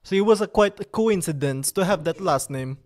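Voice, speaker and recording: A man's voice: sits high, expressive, a little fast. A man with an Italian accent, hosting a casual live stream from his computer, playful and joking and repeating himself. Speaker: livestream host.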